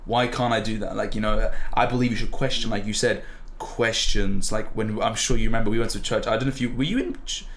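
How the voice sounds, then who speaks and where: A nineteen-year-old man talking as a podcast guest in casual chat, animated and enthusiastic, swearing freely. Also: on the low side, fast talker. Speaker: podcast guest, golfer and student.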